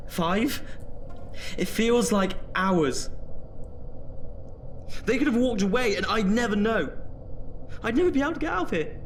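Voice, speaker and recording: An actor playing an anxious young British student in an audio drama, nervously talking himself through his fear of the dark. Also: high voice, lively intonation, measured pace, pauses often, slight background noise. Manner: jittery, muttering self-talk with sighs. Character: anxious student afraid of the dark, self-scolding.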